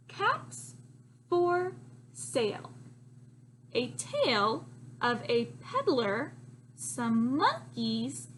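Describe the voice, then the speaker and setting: Lady teacher doing a kids read-aloud, super expressive and lilting, bit of background noise. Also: a little high. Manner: expressive, exaggerated emphasis for children. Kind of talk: read-aloud for young children.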